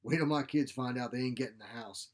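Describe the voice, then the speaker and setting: A man voicing a feisty, sharp-tongued elderly mother in a short story, blunt, folksy and grumbling. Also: medium pitch, fast, natural voice. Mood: bossy, grumbling. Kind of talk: short story character reading.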